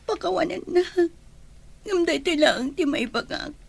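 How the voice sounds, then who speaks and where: A woman playing a remorseful, heartbroken young woman in an Ilocano radio drama, speaking softly and apologetically. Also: very high voice, expressive, measured pace, creaky, heavily acted. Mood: pleading, remorseful.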